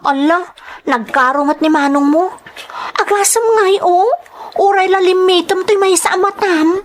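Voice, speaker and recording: A woman's voice: very high voice, fairly even intonation, normal speed. Woman acting a worried, pleading mother, raised voice. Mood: worried, soothing. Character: worried, pleading mother of two quarrelling grown sons. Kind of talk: melodramatic radio-style drama acting.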